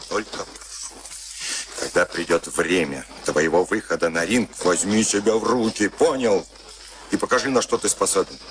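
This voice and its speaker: An actor in a Soviet radio play as a stern, urgent boxing manager. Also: male voice, low-pitched. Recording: noisy archival radio recording.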